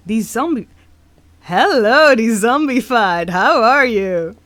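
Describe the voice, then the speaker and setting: Young woman, Dutch accent, unhurried halting stream chat. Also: a little high, animated, a bit bright. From Netherlands. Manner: slow, halting, many fillers. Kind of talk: casual live-stream chat.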